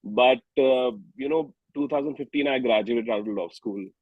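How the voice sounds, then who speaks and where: A man's voice: medium pitch, moderate pace, no echo, narrow, tinny audio. A man in his thirties with an Indian accent, chatting casually as a musician being interviewed. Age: 30s. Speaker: singer-songwriter and musician interview guest.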